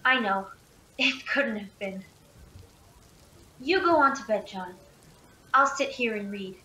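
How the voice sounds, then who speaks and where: A woman's voice: on the high side, slow pace, pushed and bright. A young woman acting as an anxious, caring young woman keeping night watch in a radio play. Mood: uneasy. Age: young adult. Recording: roomy, some background noise.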